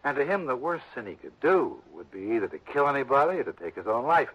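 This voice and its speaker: A man's voice: medium pitch, very animated, fast, breathy edge. An actor playing a lazy, blunt man who inherits from a dead relative, drawling and defensive, in a 1960s radio drama. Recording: dull lo-fi old radio broadcast.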